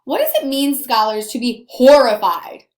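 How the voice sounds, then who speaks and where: High-pitched woman teaching kids, echoey room. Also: very animated. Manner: slow, deliberate emphasis with questions to pupils. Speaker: elementary school teacher. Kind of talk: read-aloud lesson for young children. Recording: roomy, echoey sound.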